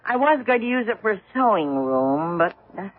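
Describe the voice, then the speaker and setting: Guarded housewife, muffled radio sound. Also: female voice, medium pitch, big pitch swings, moderate pace. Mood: uneasy. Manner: guarded, hesitant. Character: reserved, uneasy middle-aged housewife, cautiously hospitable. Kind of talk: 1970s radio drama acting. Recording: muffled, narrow-band vintage radio recording.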